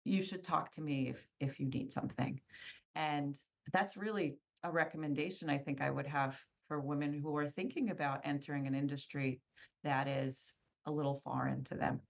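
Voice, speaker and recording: Earnest woman, low voice, dull sound. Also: steady tone, moderate pace, vocal fry. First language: Polish. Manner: earnest, tag questions "right?", emphatic repetition. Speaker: guest, scaffolding business owner. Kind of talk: conversational interview.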